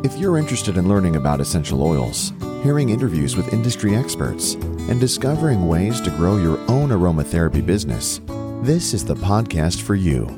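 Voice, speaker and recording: Lively low-voiced male announcer over guitar. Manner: smooth, measured announcer delivery. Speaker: podcast announcer. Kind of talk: scripted intro voice-over.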